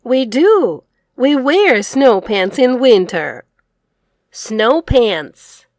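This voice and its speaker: High, lively woman teacher reading aloud slowly. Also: no echo, clean studio sound. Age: middle-aged. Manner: clearly enunciated, repetitive teaching delivery. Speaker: English teacher and read-aloud host. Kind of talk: children's read-aloud lesson.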